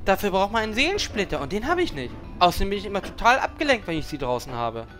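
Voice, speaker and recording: A man playing a needling little gnome warlock in a parody audio drama, talking in an animated, relentless way. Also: sits high, fast talker, soft background music, heavily acted. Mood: defensive.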